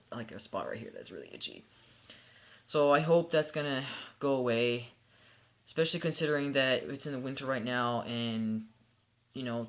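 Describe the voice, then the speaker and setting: A young man talks to his camera in a loose, candid way, stopping often for ums and restarts. He sounds a bit sleepy and unhurried, and his voice sits at an ordinary middle pitch for a man. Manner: relaxed, candid, with frequent fillers and restarts. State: sleepy at bedtime. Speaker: vlogger. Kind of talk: casual personal vlog.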